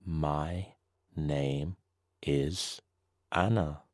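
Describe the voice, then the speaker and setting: A man reading a slow, scripted beginner English lesson, saying each short sentence twice with deliberate pauses. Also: rumbling low, steady tone, no room sound, studio quality. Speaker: English teacher.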